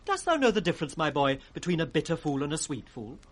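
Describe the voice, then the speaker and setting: An actor playing a witty, impudent court fool in radio Shakespeare, with a sing-song, riddling delivery. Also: male voice, a little high, very animated, quick pace, fairly bright tone. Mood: mocking. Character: witty, mocking court fool, teasing the king. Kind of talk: 1960s British radio Shakespeare acting, with sung rhymes. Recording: vintage 1960s radio drama recording.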